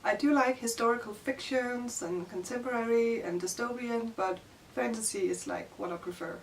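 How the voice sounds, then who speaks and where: A woman's voice: fairly high pitch, moderate pace, far from the mic, a little hiss or hum, poor audio. A young woman from Denmark with a Danish accent, chatting shyly and hesitantly in a casual vlog, full of "like" and "you know". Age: young adult. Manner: shy, hesitant, many fillers like "like" and "you know". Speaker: book vlogger. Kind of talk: casual vlog answering tag questions.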